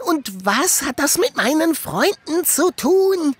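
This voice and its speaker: A woman playing a worried but determined young boy in a children's audio drama, with a husky voice. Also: high voice, sing-song, slow pace, exaggerated voice. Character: young boy Sammy, worried, determined to save his friends.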